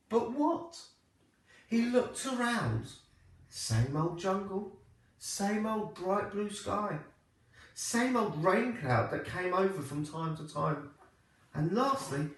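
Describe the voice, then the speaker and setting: A man reading a children's storybook aloud like a primary teacher, with expressive, deliberate phrasing. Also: sits high. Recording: echoey room, distant microphone.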